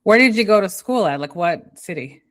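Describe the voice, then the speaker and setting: A woman from Israel hosting a podcast interview, friendly and quick to laugh, asking long questions. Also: medium pitch, expressive, fairly bright tone, no room sound, clean studio sound. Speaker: podcast host.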